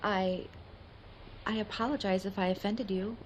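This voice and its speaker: A woman's voice: mid-range voice, steady tone, moderate pace, slight background noise, close to an everyday voice. A woman acting as a skeptical, prim university academic in a radio play, with a firm, articulate, lecturing manner. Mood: polite.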